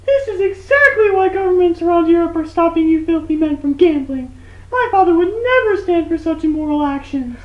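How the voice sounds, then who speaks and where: Woman as a very high-pitched prim princess. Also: lively intonation, fairly quick. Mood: indignant, haughty. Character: prim, indignant Russian princess. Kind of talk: comic scripted puppet show. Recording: echoey, dull lo-fi recording.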